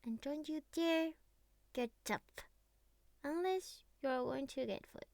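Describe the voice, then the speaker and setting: A woman playing a clingy, teasing young catgirl best friend in an intimate roleplay ASMR, speaking softly and cutely with breathy murmurs. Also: sits high, no echo, exaggerated voice. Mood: sleepy, cuddly. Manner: soft, cutesy, breathy sighs and murmurs, slow. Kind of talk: intimate roleplay ASMR, scripted monologue to the listener.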